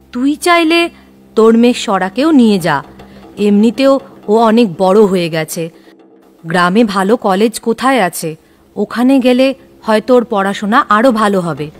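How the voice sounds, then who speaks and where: A woman's voice: mid-range voice, natural voice. A woman playing a stubborn, traditional elderly village grandmother in an audio story, firm and admonishing. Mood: resigned, firm.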